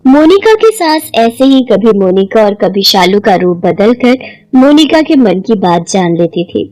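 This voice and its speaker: A woman's voice: mid-range voice, sing-song, measured pace, darkish tone, faint music behind, poor audio. A woman storyteller reading a scripted moral tale as narration.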